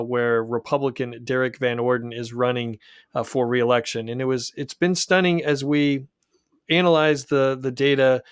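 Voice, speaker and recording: A man from the Pacific Northwest hosting a political podcast, talking in a measured, analytical way with frequent restarts. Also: medium pitch, clear, resonant tone, dry recording, clean studio sound.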